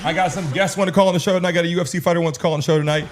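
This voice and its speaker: A man's voice: fairly high pitch, fairly even intonation, rapid-fire, faint music behind. Slangy man hosting a casual livestream. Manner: slangy, cursing, repeats phrases. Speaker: sports livestream host. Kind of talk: casual livestream chat with viewers.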